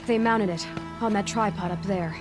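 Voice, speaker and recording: An actress playing a sharp, observant young huntress in a video game, reasoning in a calm, matter-of-fact way. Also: female voice, mid-range voice, normal speed, lightly acted. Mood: analytical. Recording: dramatic music and game sounds behind.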